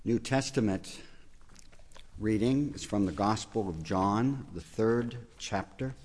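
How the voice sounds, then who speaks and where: A man reading a Gospel passage aloud in a church service in a measured, formal way. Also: fairly low pitch, darkish tone. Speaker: scripture reader. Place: church sanctuary. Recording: church hall reverb, from far off.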